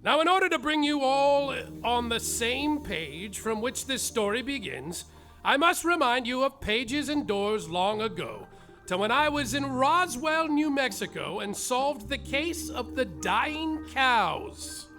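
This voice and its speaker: Grandiose detective narrator, florid and slow, played by a man, live stage with music under him. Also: very high voice, sing-song, bright, forceful tone, exaggerated voice. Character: grandiose adult male detective narrator, smooth and stoned.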